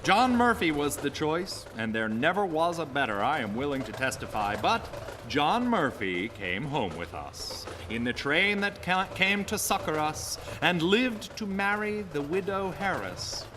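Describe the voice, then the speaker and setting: A man's voice: a little high, big pitch swings, unhurried. An actor playing a genial, courtly, mad congressman telling a grisly tale earnestly in a live radio play. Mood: fond, satisfied. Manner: courtly, formal, fond, theatrical storytelling. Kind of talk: live radio drama acting. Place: theater stage before a live audience. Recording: reverberant live theater stage, audible noise.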